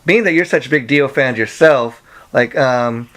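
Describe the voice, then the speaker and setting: A male interviewer speaks in a smooth, clear voice at a middling pitch. He rambles through his questions in a casual way, padding them with "you know" and "like", over a phone call. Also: unhurried, fairly bright tone.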